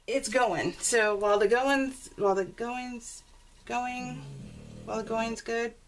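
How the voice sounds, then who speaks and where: A woman chatting casually and rambling as a craft video host, giggly and self-deprecating, telling stories with animated exclamations. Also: a little high, takes it slowly. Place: at home, voice recorded live while crafting. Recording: noisy home recording with clicks.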